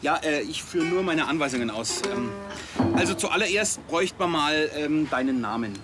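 A man's voice: on the high side, expressive, normal speed, loud music behind the voice, close to an everyday voice. An actor playing an awkward, starstruck policeman who talks hesitantly in a TV crime comedy. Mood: awkward, sheepish. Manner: hesitant, bumbling.

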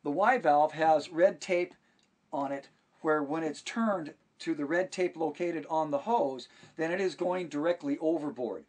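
A man with a slightly high, faintly husky voice leads a tour through a small sailboat cabin. He works through the gear item by item, steady and methodical. The voice sits a little back from the microphone. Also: far from the mic. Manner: steady, methodical listing of equipment. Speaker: charter boat staff giving a tour. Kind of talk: walkthrough tour, instructional.

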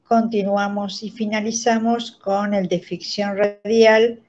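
An older Argentine woman speaks as a guest on a video call, with dull, lo-fi sound. She explains in a slow, didactic manner, and her tone is soft-edged and mellow. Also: mid-range voice, flat delivery. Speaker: guest, radio theatre director and writing teacher. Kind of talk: explanatory interview talk. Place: indoors, plain room on a video call.

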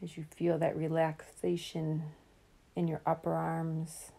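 A woman guiding a relaxation meditation in a calm, soothing, unhurried way, leaving long pauses between phrases. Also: low-pitched, creaky. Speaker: meditation guide.

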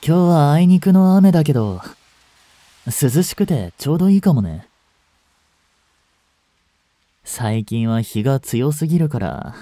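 A man playing a gentle, brooding male chemistry teacher in a voice drama, soft-spoken with sighs and murmurs. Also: on the high side, sing-song, normal speed, dry recording. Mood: eerily friendly. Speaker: voice actor in a fan voice drama. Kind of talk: Japanese audio drama monologue, intimate acting.

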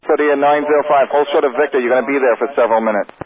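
A man working as an air traffic controller, giving clipped, brisk, procedural instructions over radio. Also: mid-range voice, steady tone, narrow, tinny audio, a crowd in the background. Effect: radio transmission.

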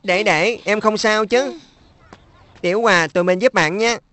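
A woman's voice: mid-range voice, normal speed, a bit bright, lightly acted. A woman voicing a caring, earnest older brother who coaxes his little brother in a cartoon dub. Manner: earnest, coaxing.

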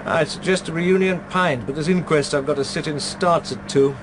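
A man's voice: a little high, moderate pace, background noise, close to an everyday voice. An actor with a British accent playing a wry, knowing man in a radio drama, speaking in a clipped, dry way. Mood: wry, casual. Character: wry, knowing man briefing an old acquaintance.

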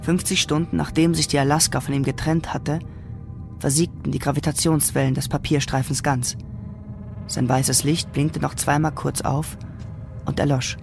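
A man's voice: a little high, level tone, moderate pace, vocal fry, over music. A man playing the sober narrator of a science fiction radio drama, reading scripted prose. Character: sober male storyteller of a science fiction tale.

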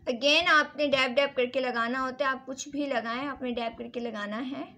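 A woman's voice: high-pitched, fairly even intonation, moderate pace, pushed and bright. A woman casually reviewing and demonstrating products as a beauty vlogger, instructive and repetitive, mixing in English words. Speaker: beauty vlogger and salon owner.

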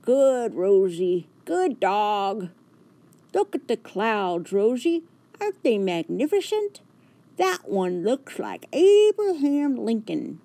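A woman puts on a cheerful man out walking his dog in a storybook. He talks in a raised, bright voice, drawing out his words with eager enthusiasm. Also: a little high, big pitch swings, takes it slowly, pitched above the performer's own voice, heavily acted. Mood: enthusiastic. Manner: enthusiastic, coaxing.

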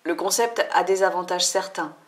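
A woman host narrating a scripted cooking tutorial voice-over in a clear, upbeat instructional way. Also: on the low side, normal speed.

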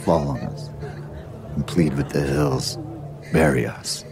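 Suffering, compassionate holy man for scripture drama: extremely low, slow, grave delivery over music. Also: fairly even intonation, a crowd in the background, full character voice. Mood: solemn sorrow.

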